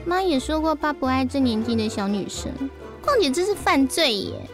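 A woman's voice: high-pitched, sing-song, normal speed, over music. A woman voicing a bold, cheeky young daughter in a radio drama, blunt and childlike, with a Taiwanese accent. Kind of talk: Mandarin radio drama acting.

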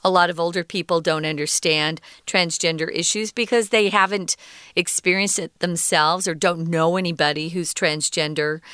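A woman teaching English. She reads a passage aloud and patiently explains each word, often pausing with "um" and "uh.". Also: lowish voice, fairly even intonation, bright, forceful tone, clean tone, dry recording, studio quality. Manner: patient, defines words step by step; frequent ums and uhs.

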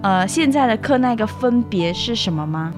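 A woman's voice: medium pitch, lively intonation, moderate pace. A young woman hosting a student radio interview in a chatty way, readily acknowledging what is said. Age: young adult. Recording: music bed behind the voice. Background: background music.

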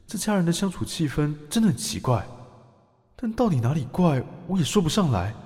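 A man's voice: on the high side, darkish tone, breathy edge, no room sound, studio quality. A young male voyeur tutor. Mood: indignant. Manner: introspective narration, judgmental. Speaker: narrator of observation diary. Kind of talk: radio drama acting.

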